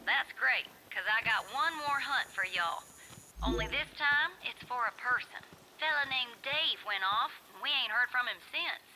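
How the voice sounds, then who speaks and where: A very bright, high-pitched young country woman with a folksy Southern drawl. She is a friendly, upbeat game character on a phone call with some noise. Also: lively intonation, normal speed. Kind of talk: video game cutscene voice acting.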